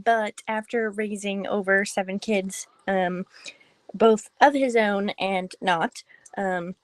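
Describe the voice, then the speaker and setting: A woman's voice: mid-range voice, fairly even intonation, slow pace, no echo. A young woman from Massachusetts, chatting casually on a livestream into her phone in an unsure, giggly, rambling way. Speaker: host's daughter, co-host. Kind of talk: casual live chat.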